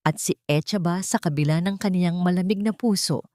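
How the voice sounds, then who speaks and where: A woman's voice: lowish voice, a little fast, darkish tone, dry recording, clean studio sound. A Filipino woman narrating a scripted dramatic story as a storyteller.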